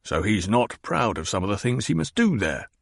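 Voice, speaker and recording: A man's voice: fairly low pitch, very animated, moderate pace, creaky, breathy edge, dry recording, studio quality, exaggerated voice. A man voicing a fat, petulant, demanding baron who blusters and growls. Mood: blustering.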